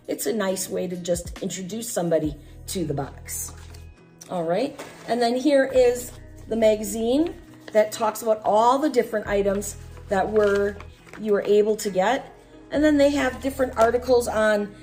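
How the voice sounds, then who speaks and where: A woman's voice: mid-range voice, darkish tone. Middle aged american lady doing casual unboxing chat, voice going from a cold, faint music behind. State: losing voice with a chest cold. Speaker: unboxing vlog host. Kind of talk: casual product review chat. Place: small room at home, at a table.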